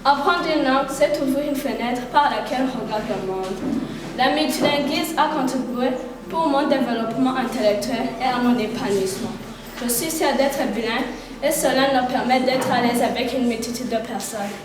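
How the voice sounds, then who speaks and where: Teenage schoolgirl, earnest declamatory recitation, slightly high voice, caught far off in an echoing hall. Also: normal speed. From Burundi. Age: teens. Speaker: pupil reciting a poem. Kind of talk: recited poem at a school event. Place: large hall. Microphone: far from the microphone. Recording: echoey hall from far off, background ambience.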